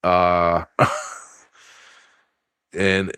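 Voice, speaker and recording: A man hosting a casual sports podcast, rambling and swearing as he reads news aloud with his own asides, his voice going hoarse. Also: low-pitched, monotone, drawn-out words, bright, forceful tone, no room sound. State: losing his voice. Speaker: podcast host.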